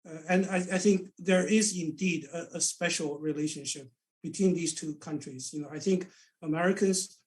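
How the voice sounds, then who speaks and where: Man, Chinese accent, non-native English, earnest panel guest, repeats words, a bit high-pitched, heard from a distance. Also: moderate pace. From China. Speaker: senior advisor, panel guest. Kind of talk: conversational panel discussion. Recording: voice set back from the mic.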